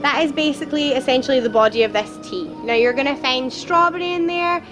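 A young woman leads a tour group through an outdoor garden, her voice high and bright as she talks loudly to the people around her. She is chatty and playful, and she is picked up by a camera a few steps away. Music plays clearly in the background. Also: fairly quick. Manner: loud, lively and chatty, with playful jokes. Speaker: tour guide. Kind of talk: guided tour talk to a group. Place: outdoor garden at a theme park. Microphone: camera a few steps away, no microphone.

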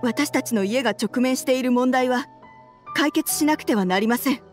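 Game role: woman as troubled wife and mother, higher-pitched, measured, courteous and earnest. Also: soft background music, close to an everyday voice. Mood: worried, resolute. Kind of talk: video game voice acting.

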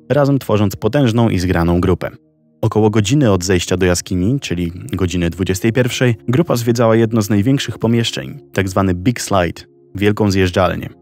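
A man narrating a documentary story in a slow, measured scripted style. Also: lowish voice. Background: soft background music.

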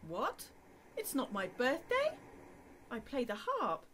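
High, swooping, bashful young wife played by an actress. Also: female voice, huge pitch swings, slow pace, fairly bright tone, slight background noise, heavily acted. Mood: flustered, reluctant. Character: Jane, bashful, reluctant young wife given a gift. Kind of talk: radio play acting.

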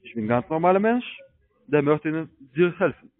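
A man with a foreign accent, a non-native speaker, pushing an insistent, questioning sales pitch. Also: sing-song, fairly quick, no echo. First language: not German. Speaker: telesales investment agent. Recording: narrow, dry phone line.

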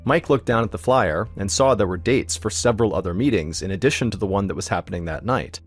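Low-voiced man narrating a mystery. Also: fast, breathy edge, faint music behind. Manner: steady, suspenseful storytelling. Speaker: podcast host narrator. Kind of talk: scripted storytelling narration.

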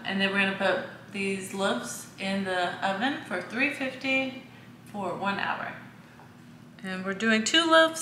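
A woman in her thirties guides viewers through a recipe at an unhurried pace, pausing between steps. Her voice is bright and clear. The kitchen gives a roomy echo with faint background noise. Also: medium pitch. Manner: slow, step-by-step instructions with fillers. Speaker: home cooking host. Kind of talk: casual recipe walkthrough. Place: home kitchen, indoors.

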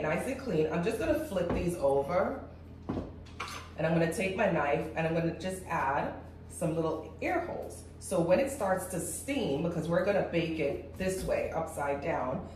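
A woman's voice: low-pitched, darkish tone, a little hiss or hum. A woman in her forties with a congested voice, chatting viewers through a cooking demonstration in her home kitchen. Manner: chatty, talks viewers through each step. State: congested, voice a little off. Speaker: cooking channel host. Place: large home kitchen indoors. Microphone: far camera. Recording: echoey kitchen from far off.

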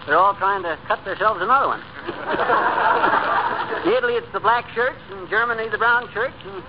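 A man's voice: sits high, lively intonation, moderate pace. An actor playing a stubborn, wisecracking married man with folksy, blustery speech in a 1930s radio comedy. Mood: joking. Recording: dull, very noisy 1930s radio recording.

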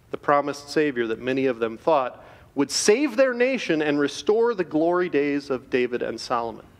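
A middle-aged man giving an earnest, measured chapel devotion to students from a podium in a school auditorium. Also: mid-range voice. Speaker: chapel speaker. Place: large school auditorium stage. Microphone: podium microphone. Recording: reverberant auditorium.

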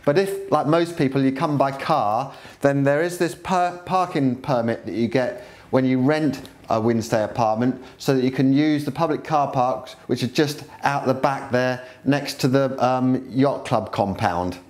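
A middle-aged man hosting a walking video tour of holiday flats, chatty and friendly as he shows rooms. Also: medium pitch, a little slow, hardly any pauses. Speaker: property video host. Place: seaside quay outdoors, then rooms of a flat.

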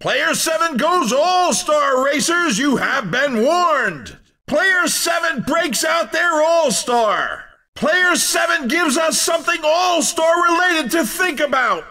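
An actor playing a playful, over-excited racing commentator in a video game, with a hammy, exclamatory showman delivery. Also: very high voice, slow, recorded from a distance, exaggerated voice. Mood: excited, urgent. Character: over-excited male cartoon racing announcer, playful and punning.

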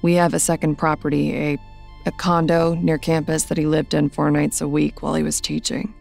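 Gravelly, low-voiced bitter widowed mother. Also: level tone, fairly quick, darkish tone, soft background music, heavily acted. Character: bitter, guarded widowed mother of a missing son. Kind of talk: audio drama acting.

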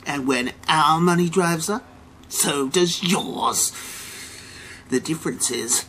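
Casting: middle-aged man playing arrogant American banker, slightly high male voice, menacing theatrical monologue, whispers to bold declarations, noisy background. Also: slow, sharp tone. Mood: defiant, forceful. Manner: theatrical, dropping to whispers and swelling to emphatic declarations. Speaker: performer of a dramatic reading. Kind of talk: dramatic monologue reading.

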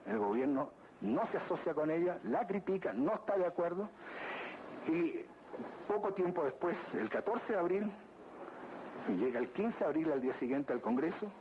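Male state lawyer addressing a court, measured and formal, slightly high voice, thin lo-fi recording with audible noise. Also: expressive. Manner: formal, deliberate, repeats phrases for emphasis. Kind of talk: formal legal argument. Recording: tinny lo-fi archive recording with background noise.

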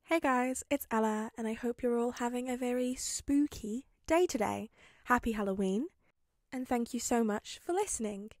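A woman narrating fan fiction in an expressive storytelling style. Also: a little high, moderate pace, no room sound, studio quality.

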